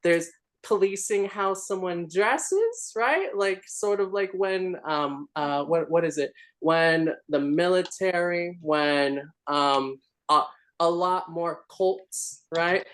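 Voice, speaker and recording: Unhurried man lecturing, tagging "right?". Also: high voice. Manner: animated, lecturing, keeps asking "right?". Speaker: church group participant. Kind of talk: casual group discussion.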